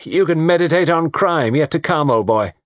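A man voicing a brash, petulant young Englishman, loud, sarcastic and bantering, in an audiobook story. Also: fairly low pitch, big pitch swings, slow pace, no echo, studio quality, full character voice. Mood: breezy.

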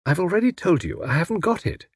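Man playing a breezy young English detective, high and lilting. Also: huge pitch swings, fast, breathy edge, no echo, clean studio sound, full character voice. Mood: cool. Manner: breezy, teasing, unruffled. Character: flippant, cheerful young English detective held captive.

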